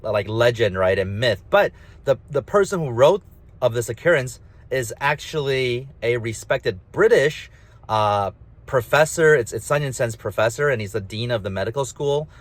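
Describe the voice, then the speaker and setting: A man with a nasal, slightly mumbly voice talks casually on his own, full of fillers and emphatic asides. His pitch sits in the middle for a man. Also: expressive, normal speed. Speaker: host. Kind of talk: rambling solo talk.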